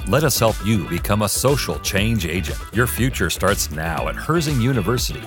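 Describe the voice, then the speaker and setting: A man's voice: on the low side, very animated, normal speed. A man reading a scripted commercial as an announcer. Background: music.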